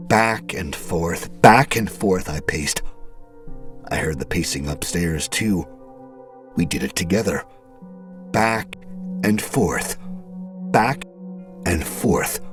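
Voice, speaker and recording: A man delivering scripted first-person horror narration in a dramatic, suspenseful way, with deliberate phrasing. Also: deep voice. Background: music.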